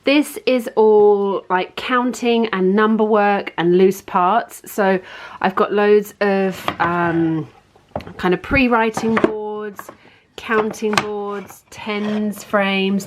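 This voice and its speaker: A woman's voice: medium pitch, flat delivery, takes it slowly, heavy background noise. A woman giving a casual, informal tour at home, explaining things in a chatty way as a parenting vlogger. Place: indoors at home, in a playroom.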